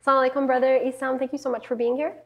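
A young woman hosting a studio interview, asking brisk questions and affirming answers in an upbeat way. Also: high voice, level tone, creaky, highs cut off, faint music behind. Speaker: interviewer, host. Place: dark studio set.

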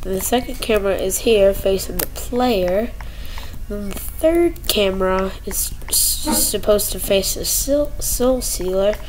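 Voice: mid-range voice, a little hiss or hum, low-quality recording. A young video creator giving casual gaming commentary, rambling playfully and stumbling over words. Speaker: young video creator showing game cutscenes. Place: indoor room at home. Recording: home screen recording with music behind.

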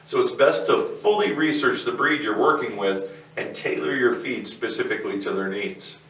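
A man in his forties, seated co-host, giving a scripted educational explainer in a steady, measured way. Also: medium pitch. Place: indoor studio set with barn-wood backdrop. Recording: roomy echo, distant mic, faint noise.

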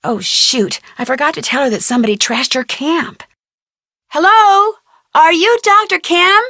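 A woman playing an inquisitive, polite and persistent young American amateur detective, speaking scripted adventure game dialogue in an earnest, expressive way. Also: high-pitched, a little slow, dry recording, clean studio sound, heavily acted. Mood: calling out. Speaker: video game voice actress.

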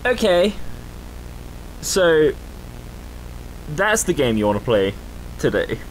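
Slow-paced, lively young male gamer. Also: poor audio. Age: young adult. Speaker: gaming video host. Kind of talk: casual game reaction commentary. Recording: clear rumbling game noise behind. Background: horror game rumble.

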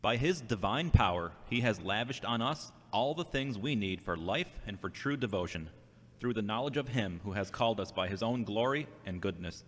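A man's voice: fairly low pitch, lively intonation, moderate pace, a bit bright. A man acting an earnest, troubled and devout man in an amateur audio drama. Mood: reverent. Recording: echoey hall with long reverb.

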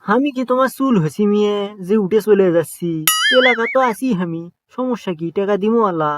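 A man's voice: sits high, a little fast, heavy background noise, natural voice. A man with a Bogura accent voicing a broke, scheming young trickster in a cartoon comedy, with cheeky, teasing banter. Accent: Bogura dialect of Bangla.

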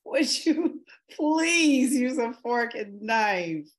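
Woman acting patient, gently probing wife. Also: fairly high pitch, lively intonation, extremely slow. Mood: patient, concerned. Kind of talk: screenplay table read. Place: indoor room on a video call. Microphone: video call microphone.

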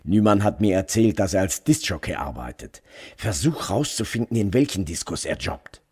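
A low, husky man plays a police detective in a crime radio play. He is insistent yet a little awkward, with lively swings in his intonation. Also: moderate pace, heavily acted. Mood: brisk, insistent.

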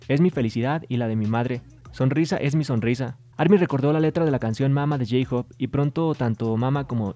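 A man's voice: medium pitch, warm tone. A man hosting a scripted entertainment news show, reading reports and translated quotes in an upbeat announcer manner.

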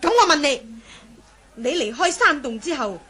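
A stern, high-voiced senior nun. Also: female voice, big pitch swings, normal speed, a bit bright, some chatter behind. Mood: stern anger. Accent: Hong Kong Cantonese. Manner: scolding, commanding. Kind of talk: 1980s radio drama acting.

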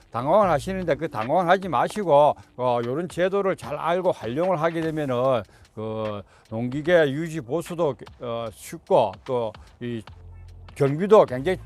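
Higher-voiced middle-aged man. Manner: polite, rambling, colloquial phrasing. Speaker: video host giving farming tips. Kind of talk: informal explanatory monologue for viewers.

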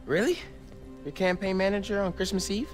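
A man's voice: high-pitched, a little fast, faint music behind, lightly acted. A man playing an earnest, upbeat teenage boy superhero with an American accent, thinking aloud in a casual, youthful way in a video game. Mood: easygoing. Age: teens. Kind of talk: video game character acting, casual self-talk.

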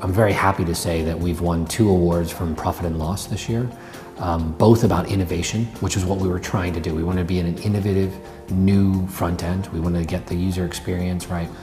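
A man's voice: low voice, steady tone, fairly quick, music in the background. A man in his thirties, a bank executive, explaining a project earnestly in a corporate interview indoors. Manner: earnest, explanatory business talk. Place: indoor office with brick wall.

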